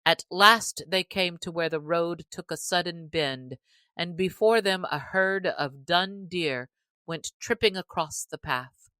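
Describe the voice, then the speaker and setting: A woman narrating a classic novel as an audiobook reader, in a measured, even storytelling manner. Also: on the low side, no room sound.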